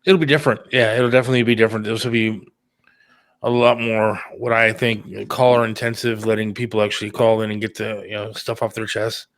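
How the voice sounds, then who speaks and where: A man's voice: on the low side, little pitch movement, a little fast, dry recording. A man hosting a talk show, chatting casually in an informal, plain-spoken, conversational way. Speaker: radio producer and talk show host. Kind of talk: casual chat about an upcoming radio show.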